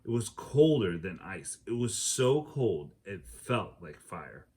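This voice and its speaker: A man with a fairly low voice reads a children's adventure book aloud. He tells it expressively and acts out the characters' lines as he goes. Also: sing-song, takes it slowly, warm tone, breathy edge. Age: adult. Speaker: teacher reading aloud.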